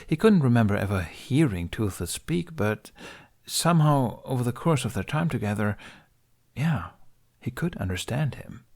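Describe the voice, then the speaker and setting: A man's voice: mid-range voice, sing-song, normal speed. A man narrating an audiobook, reading scripted fiction as the storyteller.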